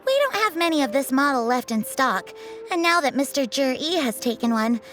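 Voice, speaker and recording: An expressive female video game character. The voice is high and very lively in its rise and fall, with clear music playing under it. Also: normal speed. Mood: polite.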